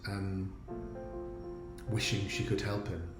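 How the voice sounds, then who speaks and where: Male theatre writer talking informally, low-pitched, roomy sound. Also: flat delivery, takes it slowly, over loud music. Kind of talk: informal explanation of his show. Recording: echoey room.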